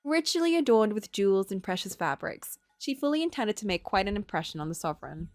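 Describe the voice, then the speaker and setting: A woman's voice: medium pitch, big pitch swings, fairly quick, no room sound, clean studio sound. A woman livestreamer reading a visual novel aloud, moving between story narration and casual commentary.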